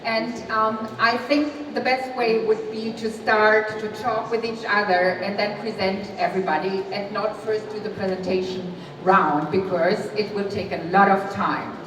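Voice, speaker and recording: A woman moderating a press conference, polite and a little hesitant, pausing on fillers. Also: medium pitch, fairly even intonation. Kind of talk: formal moderating. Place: large historic hall. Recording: echoey hall, distant mic, background noise.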